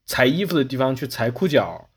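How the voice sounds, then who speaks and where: Male podcast host, mid-pitched, rapid delivery, animated storyteller in casual panel chat. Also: husky.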